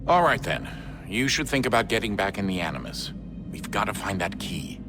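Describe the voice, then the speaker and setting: Game role: weary, stern dad, very deep, measured, music behind. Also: male voice, very animated. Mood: resigned, businesslike. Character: stern, world-weary father, veteran of a secret war. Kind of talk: video game cutscene acting.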